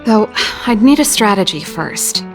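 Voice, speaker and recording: Young female ex-researcher, wry, candid, reflective, articulate game cutscene delivery, medium pitch, prominent music behind. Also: animated, unhurried. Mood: wry, practical. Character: wry, candid young woman, exiled scientist turned musician. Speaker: voice actor in a video game. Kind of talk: scripted dramatic game dialogue. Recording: loud background music under the voice.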